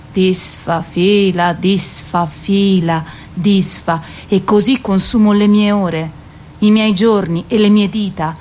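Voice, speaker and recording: A woman's voice: medium pitch, darkish tone, a little hiss or hum, lightly acted. Radio drama: actress as weary, proud waiting queen of myth, mid-range voice, theatrical delivery with bitter irony. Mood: restless, bitter. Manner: declamatory, ironic, lingering on repeated words.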